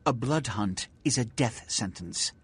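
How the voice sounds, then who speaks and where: An actor playing a haughty, authoritative vampire prince in a video game, speaking in formal, pompous, measured diction. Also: male voice, mid-range voice, animated, slightly rough. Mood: imperious. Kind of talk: video game dialogue acting.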